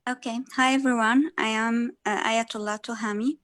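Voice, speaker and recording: A woman from Egypt gives a short, halting introduction. Her voice is higher-pitched and stays very even and flat. The sound is dry. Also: no room sound. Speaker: guest student.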